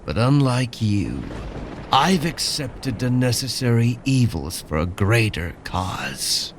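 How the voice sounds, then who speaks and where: An actor playing a cold, controlling cybernetic colonel in a sci-fi audio drama, measured and calmly commanding. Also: male voice, medium pitch, noisy background. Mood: cold, menacing.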